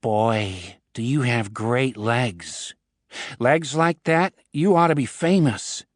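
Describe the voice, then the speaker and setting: A man's voice: medium pitch, lively intonation, slow pace, dry recording, clean studio sound. A man voicing a sleazy middle-aged boss who gripes in a whiny way. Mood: griping. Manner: whiny, complaining.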